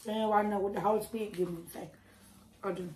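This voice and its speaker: A woman chatting casually while eating on camera, with preachy asides, frequent laughs and a slightly hoarse voice, recorded on a close mic at home. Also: medium pitch, steady tone, fast, a little mellow, roomy sound, slight background noise. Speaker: mukbang creator. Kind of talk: casual eating-show chat.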